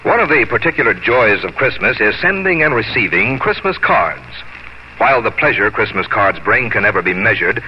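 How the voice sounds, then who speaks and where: A man's voice: mid-range voice, a little hiss or hum. A man announcing a 1950s radio broadcast in a polished, formal, promotional style. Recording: muffled old radio broadcast.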